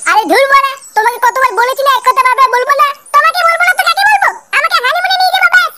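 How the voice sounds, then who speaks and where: Shrill, squeaky nagging cartoon wife. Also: steady tone, very fast, very noisy. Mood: nagging, angry. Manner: whining, insistent complaining. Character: nagging, pleading young wife in a crude comedy. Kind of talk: comic cartoon dub.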